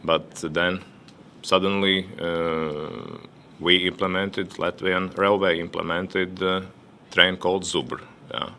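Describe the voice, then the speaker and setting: A very low, gravelly male voice with a bright edge, talking slowly. He speaks as a panelist, halting and repeating words as he goes, over a faint hum. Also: level tone. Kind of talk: panel discussion remarks. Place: conference hall.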